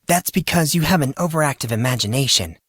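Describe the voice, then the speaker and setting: A man voicing a calm, firm teenage girl, an elder sister with a composed, corrective manner in an audio story. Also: mid-range voice, fast, husky, dry recording, studio quality, voice pushed up.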